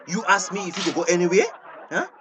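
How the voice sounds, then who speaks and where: A woman's voice: on the low side, quick pace, noisy background, lightly acted. An actress playing an angry, domineering woman who mocks and commands in a Belizean Creole accent, in a radio drama. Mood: angry, bossy. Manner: mocking, commanding. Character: angry domineering woman scolding and mocking her housemate.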